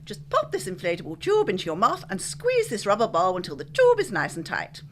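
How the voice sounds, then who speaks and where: A woman acting in a spoof 1940s radio advert with a brisk, cheerful sales-pitch delivery. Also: huge pitch swings, fairly bright tone, a little hiss or hum. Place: studio.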